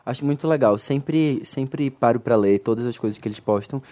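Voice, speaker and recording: A man in casual podcast chat, expressive and chatty, recommending things he likes. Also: medium pitch, moderate pace.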